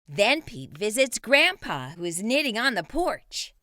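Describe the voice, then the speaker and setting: A woman reading a picture book to children with a bright, animated voice. Her pitch sits a little high and moves a lot, recorded dry and close. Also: measured pace, no room sound. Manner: lively, expressive reading. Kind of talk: read-aloud storytelling.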